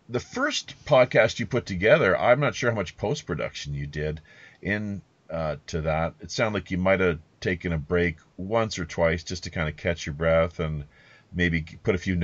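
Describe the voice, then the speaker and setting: A man with a deep voice hosts a podcast interview. He speaks casually and at length, halting and winding his way through each thought. The sound is dry and a little muffled. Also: no room sound. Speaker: podcast host interviewing a guest. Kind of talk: casual rambling interview talk. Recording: slightly dull, muffled lo-fi audio.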